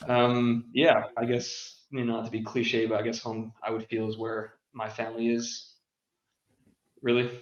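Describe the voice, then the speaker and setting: A man's voice: mid-range voice, monotone, normal speed, roomy sound, lo-fi sound. A young man speaking as a podcast guest, hesitant and casual. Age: young adult. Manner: hesitant, many ums and restarts. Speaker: guest, international school graduate.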